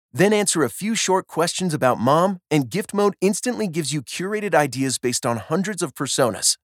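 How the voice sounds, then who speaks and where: A man's voice: fairly high pitch, no echo, studio quality. A man reading a scripted commercial as an advert announcer.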